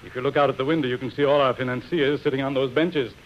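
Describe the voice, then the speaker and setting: An actor playing a polite, anxious middle-aged gentleman magnate in hesitant 1930s radio style. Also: mid-range voice, steady tone, fast, lightly acted. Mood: affable. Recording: dull, noisy 1930s radio broadcast.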